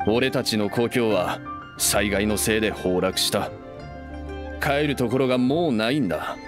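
A man's voice: fairly low pitch, unhurried, over music, natural voice. A man playing a friendly, proud young merchant in an anime game, with casual, easygoing speech. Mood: reflective. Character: friendly self-made young male merchant, nostalgic and proud. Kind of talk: Japanese anime game voice acting.

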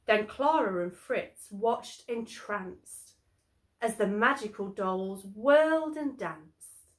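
A woman's voice: medium pitch, lively intonation, slow pace. A young woman on the school staff reading a storybook aloud to children at home. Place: home living room.

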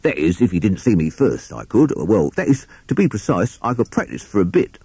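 A reckless storybook rat, voiced by a man who boasts and waffles. His delivery is rambling and very animated, correcting himself as he goes. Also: on the low side, brisk, husky, no room sound, heavily acted. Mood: waffling. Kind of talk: children's animal storybook character.

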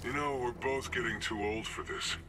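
An actor playing a cocky, jaded assassin for hire in a video game, with a dry, tough, casual manner. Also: male voice, mid-range voice, steady tone, normal speed, over music, close to an everyday voice.

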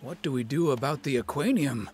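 A man's voice: mid-range voice, fairly quick, faint music behind, lightly acted. An actor voicing a wry, cautious old male demon sidekick in a video game, with dry delivery. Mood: questioning.

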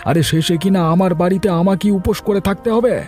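A man's voice: high voice, fast talker, darkish tone, faint music behind, natural voice. A man voicing a short-tempered, nagging farmer husband in a cartoon. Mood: annoyed, scolding. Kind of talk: cartoon voice acting.

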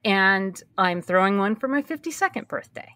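A woman's voice: mid-range voice, animated, moderate pace, pushed and bright. A woman in her early fifties speaking candidly and reflectively in a conversational interview, repeating phrases for emphasis. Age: early 50s. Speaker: podcast host being interviewed.

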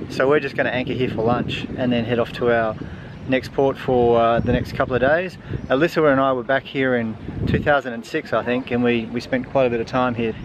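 A man's voice: mid-range voice, dull audio. A man in his forties filming himself on a sailboat at sea, chatting casually with a lot of ums and uhs. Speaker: sailing vlogger. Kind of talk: casual vlog to camera. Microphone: camera held at arm's length. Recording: loud wind and engine noise.

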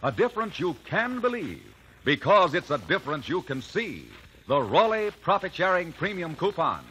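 Bright, punchy American radio pitchman. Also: big pitch swings, normal speed, soft background music. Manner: emphatic, polished. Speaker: commercial announcer. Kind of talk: 1950s radio sales pitch. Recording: slightly noisy vintage radio recording.

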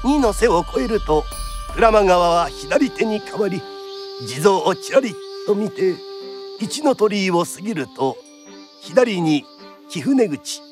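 An actor narrating a period radio drama as a theatrical kodan storyteller, formal and declamatory. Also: male voice, high voice, very animated, slow, soft background music, exaggerated voice. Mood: dramatic narration. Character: lively traditional storyteller narrating a period tale.

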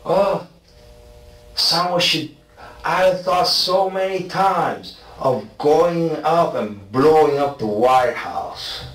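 A man's voice: a little high, lively intonation, slow, fairly bright tone. A man in his forties from South Africa and Argentina answers in a police interrogation, insistently, often checking with "okay?". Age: 40s. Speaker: suspect being interrogated. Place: small bare indoor interview room. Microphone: distant fixed room camera microphone. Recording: roomy, distant, noisy surveillance recording.

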